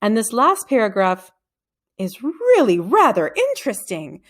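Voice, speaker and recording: A woman's voice: medium pitch, sing-song, measured pace. A woman voicing a nervous, suspicious older male Latin teacher in a children's storybook, explaining things precisely. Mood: scholarly interest.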